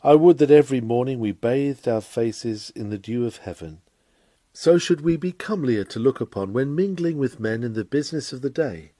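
A man reading a scripted sermon aloud in a formal, measured, oratorical manner. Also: mid-range voice, expressive, dark tone, no room sound. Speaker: reader of a sermon.

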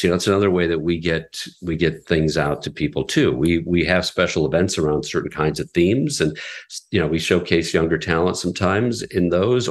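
A middle-aged man with a very low, deep voice talks easygoing and conversational. He rambles with restarts and small chuckles as he explains how radio works. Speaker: radio station president, guest. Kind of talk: conversational interview.

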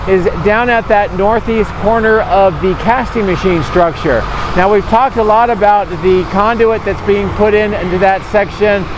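A man in his fifties giving a measured, explanatory vlog update to camera outdoors. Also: sits high, fairly even intonation. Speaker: drone vlogger. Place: outdoors beside large industrial building site. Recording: clear outdoor site and vehicle noise. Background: vehicles, outdoor ambience.

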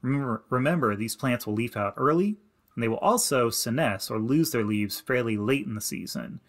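A man narrating a slideshow lesson as an extension educator, giving plain, practical advice in a steady instructive way. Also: mid-range voice, normal speed. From Indiana. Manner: plain, practical and advising, with many "you need to" instructions. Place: voice-over to slides.

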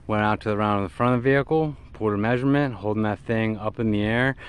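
A middle-aged man giving a casual, hands-on how-to in a halting way, recorded on a handheld camera outdoors by a vehicle. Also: fairly low pitch. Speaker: CB radio hobbyist presenter. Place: outdoors in and beside a parked vehicle in an open field. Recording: clear background noise, outdoor ambience.

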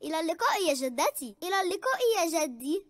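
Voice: extremely high pitch, very animated, fast, fairly bright tone, slight background noise, exaggerated voice. A performer voicing a cheerful, curious little girl pig in a preschool cartoon, sounding childlike and eager. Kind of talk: Arabic-dubbed preschool cartoon.

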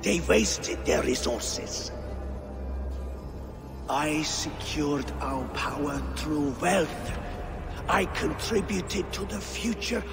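An actor playing a pompous, scheming middle-aged Norse lord in a video game, with theatrical, grandiose delivery. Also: male voice, on the high side, very slow, gravelly, pauses often, music in the background, heavily acted. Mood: bitter contempt. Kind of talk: video game cutscene acting.

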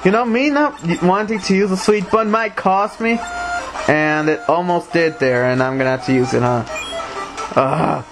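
A man's voice: on the high side, normal speed, background noise. A man with a Mexican accent giving casual commentary over a game, grumbling, talking back to the characters on screen and humming to himself. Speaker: let's play commentator. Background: retro game music.

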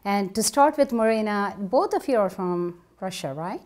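Middle-aged female TV host; animated, eager, lapel mic in a home set. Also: medium pitch, normal speed. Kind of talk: TV interview. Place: furnished living room.

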